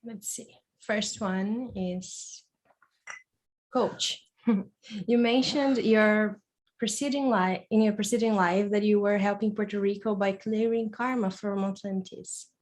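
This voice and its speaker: A woman with an average-pitched voice. She acts as moderator, reading questions from the audience aloud. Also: steady tone, slow pace, a little mellow.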